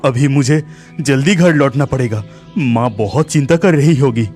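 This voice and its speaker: An actor playing a brave but anxious young village lad, thinking aloud dramatically in a cartoon horror story. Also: male voice, mid-range voice, normal speed, darkish tone, faint music behind, close to an everyday voice. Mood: worried.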